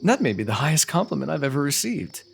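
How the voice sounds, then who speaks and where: A man's voice: on the high side, sing-song, fast talker, creaky, soft background music. A man playing a teasing, lovestruck royal ruler with courtly, formal phrasing in an ASMR fantasy roleplay. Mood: playful, admiring.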